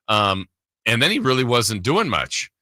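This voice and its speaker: A man's voice: mid-range voice, very animated, measured pace, bright, forceful tone, no echo. A man hosting a sports radio show, chatting casually in a rambling, conversational way close to the mic. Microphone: close studio broadcast mic.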